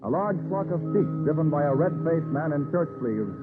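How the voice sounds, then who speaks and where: Male old-time radio announcer, formal scripted narration, highish pitch, narrow-band recording with prominent orchestral music. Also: animated, normal speed. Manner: formal, declamatory. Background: loud orchestral music.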